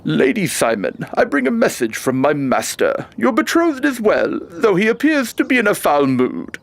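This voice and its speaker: A man's voice: high voice, wildly swooping pitch, normal speed, heavily acted. A man playing a formal, theatrical manservant of a demon, delivering messages in an audio drama. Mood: mock-courteous.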